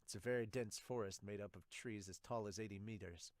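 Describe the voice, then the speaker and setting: A gruff soldier full of contempt, voiced by a man for a manga dub. He is curt, threatening and pitched on the low side, with a dry close sound. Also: fairly quick, darkish tone, dry recording, close to an everyday voice. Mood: contemptuous. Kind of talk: amateur manga dub reading.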